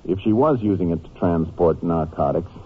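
An actor playing a steady, matter-of-fact Texas Ranger investigating a case in an old-time radio crime drama. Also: male voice, low voice, moderate pace, slightly rough. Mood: businesslike. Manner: matter-of-fact, clipped, polite. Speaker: lead detective and case narrator. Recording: muffled, noisy vintage radio recording.

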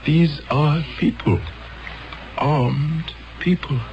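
An actor playing a grave, fatherly elder of a peaceful future world in solemn, deliberate 1970s radio drama style. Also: on the high side. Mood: solemn, foreboding. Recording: dull 1970s radio broadcast recording.

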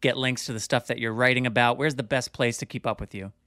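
A man's voice: medium pitch, fairly even intonation, quick pace, pushed and bright, smooth, dry recording, clean studio sound. A man hosting a podcast, joking lightly and thanking guests as he wraps up the episode. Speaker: podcast host. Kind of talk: casual podcast talk.

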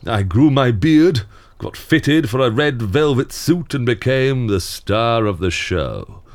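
An actor playing a glum, world-weary theme park Santa in an audio drama, grumbling sardonically and reluctantly. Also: male voice, medium pitch, big pitch swings, slow pace. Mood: bitter, resigned. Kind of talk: scripted audio drama acting.

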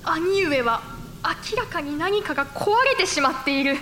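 A woman's voice: high voice, animated, normal speed, bright, forceful tone, a little hiss or hum. A woman playing an anguished, principled noblewoman in a Japanese period voice drama, speaking formally and earnestly. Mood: sorrowful, dismayed. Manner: formal, polite, earnest pleading. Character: anguished, principled samurai noblewoman, warlord's younger sister.

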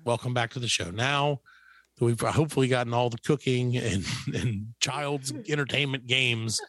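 A man's voice: mid-range voice, normal speed, a bit bright, no room sound. A middle-aged man hosting a show with casual comedic banter, rambling and mock-boastful. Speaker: show host.

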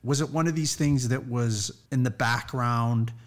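A man hosting a podcast, reading a scripted introduction and asking interview questions in a measured way. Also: medium pitch, dry recording. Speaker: podcast host.

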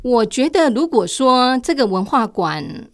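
A woman's voice: a little high, very animated, unhurried. An older retired woman with a Taiwanese Mandarin accent, answering interview questions conversationally as a guest and sharing her own experiences.

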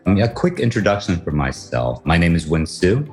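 A man's voice: deep voice, very animated, normal speed, a little mellow, soft background music. A man from Taiwan and the US answering interview questions conversationally, with frequent fillers. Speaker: guest author.

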